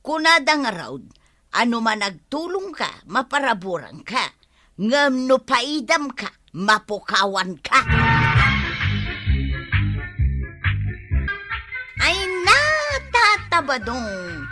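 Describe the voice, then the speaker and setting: A woman's voice: high-pitched, big pitch swings, slow, over music, full character voice. A woman playing a bossy, scolding village wife with an Ilocano accent in a radio comedy drama, exclaiming and stretching her words.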